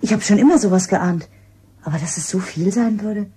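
A woman's voice: mid-range voice, fairly quick, mellow, a little hiss or hum, lightly acted. An actress playing a guilt-ridden, calculating village woman who confides hesitantly in a radio crime drama. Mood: suspicious.

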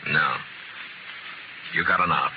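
Casting: tough, unflappable western lawman, low-pitched, on a noisy vintage radio recording. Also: takes it slowly, highs cut off. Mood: dry, stern. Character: firm, unflappable frontier marshal, dryly tough. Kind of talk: 1950s radio western acting.

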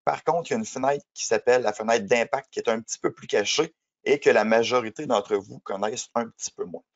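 A man, a software trainer giving a webinar while he has a cold and speaks with many fillers. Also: medium pitch, a little fast, lo-fi sound. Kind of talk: webinar presentation.

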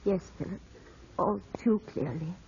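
An actress playing a frank, concerned young country gentlewoman in a 1950s radio drama. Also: fairly low pitch, a little slow, over loud music. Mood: resigned. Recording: muffled, husky-sounding old radio broadcast.

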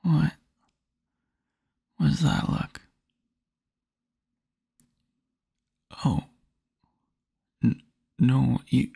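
Man acting a breathy, gravelly, lovesick young boyfriend. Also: medium pitch, dry recording, studio quality, exaggerated voice. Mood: flustered, yearning. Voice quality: breathy, husky, near-whisper. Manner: soft, halting, intimate murmur with small chuckles. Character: tender young boyfriend, wistful and quietly lovesick. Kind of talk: intimate ASMR boyfriend roleplay. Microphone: close microphone, dry.

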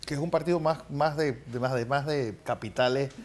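A man's voice: mid-range voice, brisk. A middle-aged man hosting a TV news interview, adding short conversational remarks to the analysis. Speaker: TV news host. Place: TV news studio.

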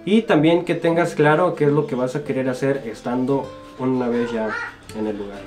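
A man's voice: mid-range voice, moderate pace, darkish tone, soft background music. A young Mexican man in his twenties, casually chatting and joking as he answers viewer comments in a small home studio. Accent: Mexican Spanish. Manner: jokey, laughs often, informal. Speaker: guest youtuber. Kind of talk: casual chat reading comments.

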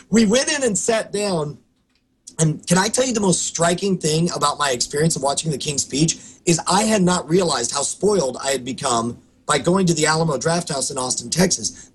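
A man in his thirties with a hoarse voice, co-hosting a casual podcast over a video call and telling stories in an animated, restarting way. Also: fairly high pitch, slight background noise, rough recording. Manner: animated, quick storytelling with restarts. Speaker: co-host. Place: home studio room.

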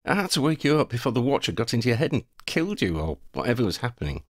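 A man's voice: mid-range voice, big pitch swings, very fast, no echo, studio quality, pitched above the performer's own voice. Young hero friend, read by a man: matter-of-fact, urging, teasing, very varied intonation, audiobook style. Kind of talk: audiobook character voice.

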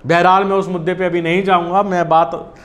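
High-voiced man, thirties, news presenter, earnest opinionated commentary, lapel mic. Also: level tone, a little fast, fairly bright tone. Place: small indoor studio, seated at a desk.

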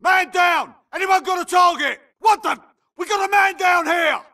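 A man's voice: very high voice, moderate pace, pushed and bright, raised voice, recorded from a distance, heavily acted. A man voicing an urgent, tense infantry soldier barking clipped combat callouts for a video game. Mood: alarmed, grim. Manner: clipped military radio callouts.

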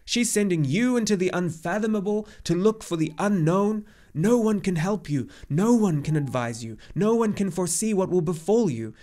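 A man's voice: mid-range voice, moderate pace, a little mellow, natural voice. A man voicing a very old, grave centaur in an audiobook story, with an emphatic, exclamatory manner. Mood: agitated, indignant. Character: very old agitated centaur messenger, grave and weary.

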